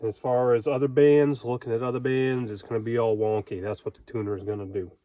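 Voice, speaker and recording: A man's voice: mid-range voice, little pitch movement, a little fast, warm tone, no echo. A man talking casually and informally in a folksy way, explaining things as he goes. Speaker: homesteader and amateur radio operator vlogging.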